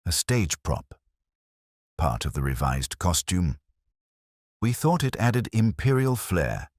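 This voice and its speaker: A man's voice: low voice, very animated, takes it slowly, a little mellow, no room sound, voice pushed up, heavily acted. A man voicing a proud, evasive society woman in a mystery story, arch, dry and composed. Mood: cool, arch.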